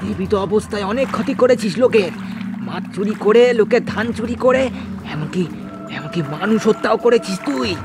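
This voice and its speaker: Man acting a high-pitched frightened villager, stammering. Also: moderate pace, music in the background. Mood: pleading, defiant. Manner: stammering, repeating words in fright. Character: frightened but defiant village fish farmer, man. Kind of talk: cartoon horror dialogue.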